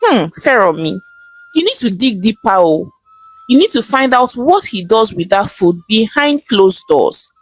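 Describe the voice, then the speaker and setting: A woman's voice: a little high, very animated, measured pace, slightly rough, soft background music, heavily acted, rough recording. A woman storyteller playing a determined, scheming auntie with a Nigerian accent, speaking with urgent insistence in a folktale. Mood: determined, suspicious.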